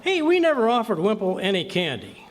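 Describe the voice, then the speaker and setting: A man's voice: high-pitched, very animated, a little fast, some chatter behind, slight background noise. A man with an American accent playing a blustery, scheming middle-aged husband in an old-time radio comedy. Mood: flustered. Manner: folksy, wisecracking, flustered. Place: clubhouse, live performance.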